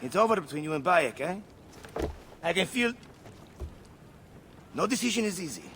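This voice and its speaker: A man's voice: fairly high pitch, big pitch swings, moderate pace, fairly bright tone, slight background noise. A man playing a brash, hearty, seasoned ship captain in a dramatic video game. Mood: easygoing, confiding.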